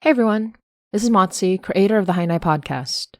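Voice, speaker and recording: A woman's voice: medium pitch, a little fast, dry recording, studio quality. A woman reading scripted podcast credits and announcements as the show's creator.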